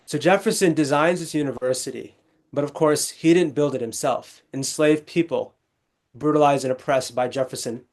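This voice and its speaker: A man's voice: a little high, darkish tone. Articulate young Texan man telling stories. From Texas. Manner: articulate, measured, with restarts. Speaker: guest, legal scholar. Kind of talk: conversational interview storytelling.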